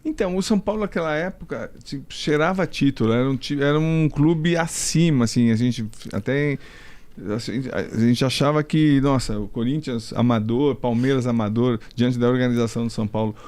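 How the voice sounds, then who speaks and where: A man's voice: mid-range voice, a little fast, darkish tone. Chatty Brazilian male interviewer. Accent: Brazilian Portuguese. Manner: chatty, long rambling questions. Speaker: interviewer, sports journalist. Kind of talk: casual interview.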